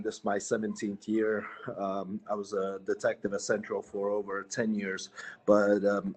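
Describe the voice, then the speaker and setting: A man from Bosnia, a police sergeant, introducing himself at a virtual community meeting with many halting fillers. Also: fairly low pitch.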